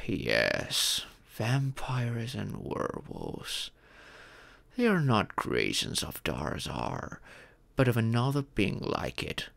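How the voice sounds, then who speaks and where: A man voicing a wise, fatherly male dragon who patiently teaches in a measured, formal storytelling manner for a roleplay audio. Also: medium pitch, gravelly, fairly bright tone, no room sound, heavily acted. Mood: grave, instructive.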